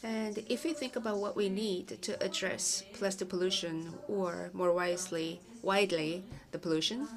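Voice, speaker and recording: A woman giving a simultaneous interpretation of a conference presentation, measured and with small self-corrections. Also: medium pitch, steady tone.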